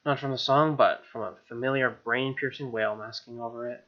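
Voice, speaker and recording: A man's voice: medium pitch, fairly even intonation, brisk, dull audio. A man with an American accent reading a visual novel aloud as a gaming host, with casual asides. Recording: game music behind.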